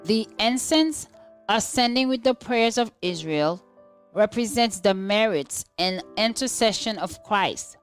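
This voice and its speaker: Slow-paced woman reading a devotional. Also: medium pitch, expressive, clear, resonant tone, faint music behind. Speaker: devotional reader.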